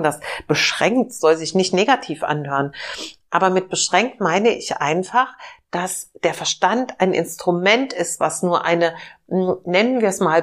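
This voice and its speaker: A woman host giving a reflective self-help talk, slightly hoarse from a cold, gentle and searching with many fillers. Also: fairly low pitch. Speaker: podcast host.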